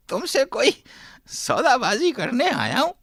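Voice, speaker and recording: Woman playing a shrill, creaky, scheming old man. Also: wildly swooping pitch, fairly bright tone, no room sound, clean studio sound, heavily acted. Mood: wheedling. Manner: drawn-out, sing-song wheedling with chuckles. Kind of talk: radio drama acting.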